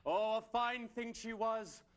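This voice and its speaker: An actor playing a hot-tempered Scottish warrior rebel in a comedy sketch, declaiming theatrically with a Scottish accent. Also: male voice, extremely high pitch, measured pace. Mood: lusty. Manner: declamatory, theatrical, swinging into bellows. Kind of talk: mock-heroic rallying speech in live sketch comedy.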